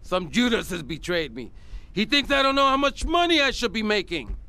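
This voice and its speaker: High-pitched, ranting, paranoid drug lord. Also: male voice, big pitch swings, fairly quick, pushed and bright, smooth, heavily acted. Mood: scheming, commanding. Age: middle-aged. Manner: explosive, theatrical ranting. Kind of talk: video game cutscene acting.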